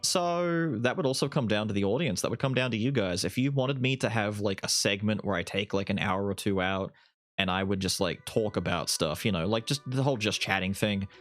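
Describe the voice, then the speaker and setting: Low-voiced Australian guy chatting casually. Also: a bit bright. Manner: rambling, self-deprecating, quick asides. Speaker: YouTube gaming creator answering viewer questions. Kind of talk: casual Q&A chat. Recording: voice over faint background music.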